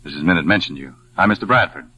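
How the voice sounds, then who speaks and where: A breezy young gentleman from an old radio drama. His voice is lowish and forceful, swinging lively through each phrase. He sounds casual, wry and worldly. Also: rapid-fire, husky, no room sound, full character voice, rough recording. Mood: breezy, curious. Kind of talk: 1940s radio acting.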